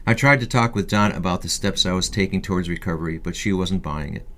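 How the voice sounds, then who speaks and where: A man in his fifties with a deep, low-pitched voice reads a personal story aloud. It is scripted first-person narration in the manner of an audiobook, delivered by the author. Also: fast talker, bright, forceful tone. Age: 50s. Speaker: author reading a personal memoir.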